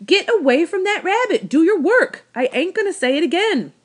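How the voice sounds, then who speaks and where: Woman putting on a shouting, bossy old farm woman. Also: very high-pitched, expressive, normal speed, pitched above the performer's own voice. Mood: angry shouting. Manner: shouting, scolding, folksy rustic speech. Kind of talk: children's storybook read aloud.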